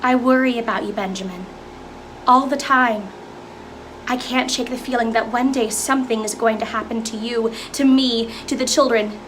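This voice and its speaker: A woman's voice: on the high side, fairly even intonation, moderate pace, fairly bright tone, a little hiss or hum, lightly acted, rough recording. A woman acting a worried, reproachful wife and mother in a musical's dramatic dialogue. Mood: anxious, pleading.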